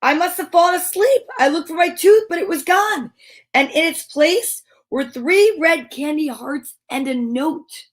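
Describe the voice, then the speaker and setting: A woman's voice: high voice, expressive, measured pace. A woman reading a children's story aloud in a dramatic, playful manner. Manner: dramatic, with gasps and playful asides.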